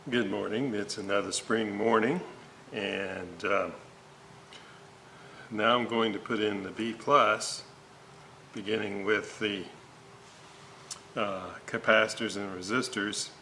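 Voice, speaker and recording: A man talks through a hands-on repair at an indoor bench, taking his time. His voice is fairly low yet bright, and he halts as he thinks aloud. Some noise sits behind him. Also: steady tone. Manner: halting, thinking aloud while working. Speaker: host of a radio restoration video series. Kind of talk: informal hands-on repair walkthrough. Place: indoor workbench, hands working on components. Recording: noticeable background noise.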